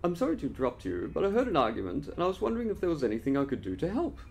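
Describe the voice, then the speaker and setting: A man's voice: fairly high pitch, huge pitch swings, very fast, gravelly, faint music behind, pitched above the performer's own voice, full character voice. A man voicing a friendly, helpful alien blob gardener in a children's storybook, polite and eager.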